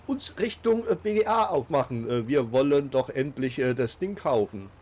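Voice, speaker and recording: A man's voice: mid-range voice, animated, moderate pace, a little mellow. A man with a cold, hosting a casual let's play and talking along with many fillers and restarts. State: has a cold. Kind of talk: casual game commentary.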